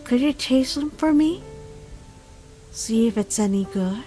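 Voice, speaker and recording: A woman voicing a wise, nurturing mother deer in an animated comic dub, gentle and unhurried with a teasing side. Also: a little high, faint music behind, rough recording. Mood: sly, playful.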